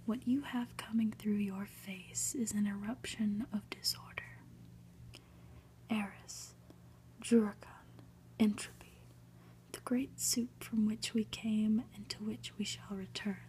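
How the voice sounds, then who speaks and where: Storybook professor, male, grave and lecturing played by a woman, breathy whisper, very measured, faint noise behind. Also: medium pitch, steady tone, darkish tone, close to an everyday voice, rough recording. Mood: ominous. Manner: portentous, lecturing, drawn-out dramatic pauses. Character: male professor, ominous, pompous, fond of grand theories.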